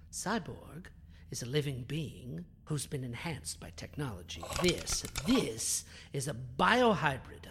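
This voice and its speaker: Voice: mid-range voice, a bit bright, a little hiss or hum. An actor playing a proud, bitter alien scientist in a sci-fi audio drama, speaking in a haughty, theatrical, precise and formal way. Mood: lecturing, wounded pride. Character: proud, bitter alien scientist who builds biohybrid creatures. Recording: music under the voice.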